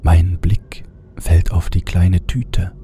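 A man narrates a horror tale in a very low, dark voice. His delivery is slow and suspenseful, dropping toward a hush at times, over a faint bed of noisy ambience. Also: little pitch movement. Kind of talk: scripted audiobook narration of a horror story.

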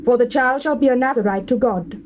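A woman playing a devout, earnest wife in a dramatized scripture recording, with measured, theatrical, formal speech. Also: a little high, natural voice. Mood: awed. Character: devout, earnest wife, awed yet sensible. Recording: dull, slightly hissy old cassette tape.

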